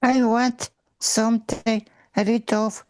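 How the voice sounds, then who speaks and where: A woman with a Polish accent, a Polish native speaker playing a tabletop game, speaking slowly and carefully in simple phrases. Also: fairly high pitch, level tone, darkish tone, no echo, studio quality. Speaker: player.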